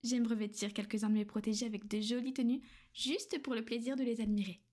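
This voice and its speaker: Woman as a calm, possessive vampire lady with a high, soft voice that slips into whispers; ASMR roleplay. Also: a little fast. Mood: calm, matter-of-fact. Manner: soft, soothing, often whispered. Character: gentle, possessive female vampire noblewoman.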